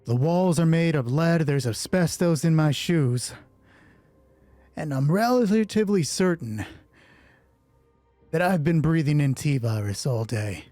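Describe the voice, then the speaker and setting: A man's voice: fairly high pitch, lively intonation, unhurried, pauses often, warm tone, no room sound, soft background music. A man voicing a wisecracking, grumbling male assault gunner in a tabletop roleplay story, with sardonic delivery.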